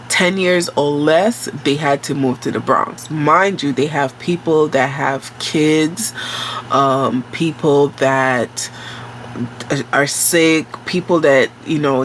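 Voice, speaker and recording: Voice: medium pitch, slight background noise, rough recording. A vlogger, telling a work story in a casual, rambling way, sounding nasal from a cold. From New York City. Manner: slow, rambling and conversational, with many fillers and self-corrections.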